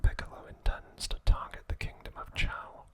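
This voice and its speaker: A man narrating trivia for ASMR in a soft, breathy whisper, reading deliberately in short broken phrases.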